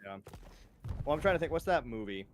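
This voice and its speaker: A man's voice: a little high, sing-song, brisk, slight background noise. A man chatting with a friend on a game stream, rambling with lots of fillers and laughing often. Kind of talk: casual gaming chat.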